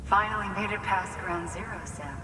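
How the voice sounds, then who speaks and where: An actress playing a grave, composed superior briefing a courier in a video game, speaking in a measured, solemn way. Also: female voice, mid-range voice, noisy background, natural voice. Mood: solemn, encouraging. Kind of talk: video game dialogue acting. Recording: echoey hall, distant, under loud music.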